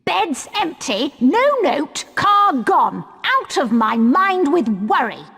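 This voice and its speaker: A woman's voice: high voice, sing-song, slow, vocal fry, fairly bright tone, full character voice. A woman with a British accent playing a fussing, scolding middle-aged witch mother in a video game. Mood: exasperated.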